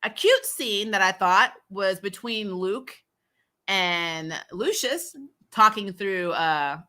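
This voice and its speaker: A woman with a bright, forward voice talks casually as a livestream host. She sits at an ordinary middle pitch and speaks in an emphatic, slangy way. Her delivery is candid and openly opinionated, like chatty fan commentary. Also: lively intonation, slow.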